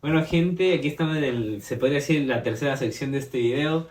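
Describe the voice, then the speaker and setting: A man's voice: medium pitch, a little fast, a little mellow, faint music behind. A man in his twenties from Ecuador, hosting a podcast and giving an informative explainer with frequent hesitations and fillers. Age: 20s. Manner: hesitant, many fillers and restarts. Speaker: podcast host.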